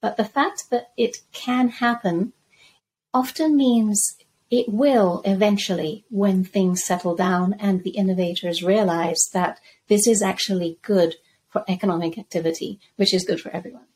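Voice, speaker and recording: A middle-aged woman who grew up in Zambia, giving measured, articulate answers as an interview guest. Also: mid-range voice, steady tone, a little mellow, breathy edge, poor audio. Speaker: guest crypto researcher.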